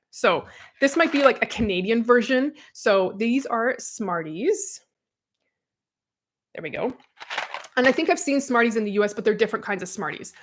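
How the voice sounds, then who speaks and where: A woman's voice: medium pitch, a little hiss or hum. Chatty woman, rambling casual talk. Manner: chatty, rambling, self-deprecating, many "like"s. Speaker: podcast co-host. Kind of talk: casual chat.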